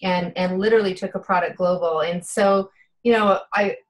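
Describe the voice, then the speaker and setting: A woman's voice: fairly low pitch, steady tone, moderate pace. A woman in her fifties, a guest in an interview, speaking earnestly and from the heart with many "you know" fillers. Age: 50s. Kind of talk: casual interview chat.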